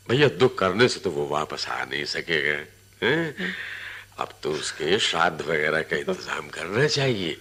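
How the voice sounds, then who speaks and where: A greedy, unctuous village moneylender in a radio play, full of false sympathy. His low, gravelly voice has a forceful edge and drawls with big theatrical swings. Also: full character voice. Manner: drawling, unctuous, repeating phrases with questioning tags. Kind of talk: Hindi radio drama acting.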